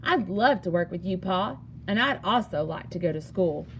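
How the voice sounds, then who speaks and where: A woman voicing a bright, confident frontier girl in a storybook, full of eager advice. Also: on the low side, animated, moderate pace, slight background noise, voice pushed down, natural voice, poor audio. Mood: happy. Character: bright, enterprising frontier girl who bakes pies. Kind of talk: storybook character dialogue.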